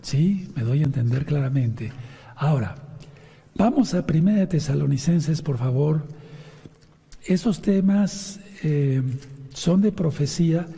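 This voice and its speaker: A man preaching and teaching from scripture in a hoarse voice, addressing his listeners directly with questions and instructions. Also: fairly high pitch, lively intonation, unhurried, mellow. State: hoarse voice from sinusitis after an operation. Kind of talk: sermon with scripture reading and commentary. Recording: long reverberant hall sound.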